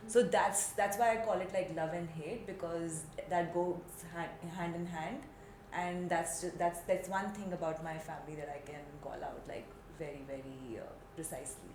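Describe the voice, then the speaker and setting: A woman from Delhi with an Indian English accent talks in a casual podcast chat, slowly and thoughtfully, circling back on her words. Her voice sits fairly low. The room is echoey and there is a clear noise floor behind her. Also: steady tone, a little mellow. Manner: slow, thoughtful, with many restarts. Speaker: podcast co-host.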